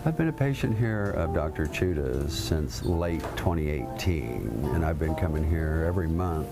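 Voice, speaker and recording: A man's voice: very deep voice, expressive, moderate pace, loud music behind the voice. A middle-aged man, a musician, telling his story in an easygoing way. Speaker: musician and patient.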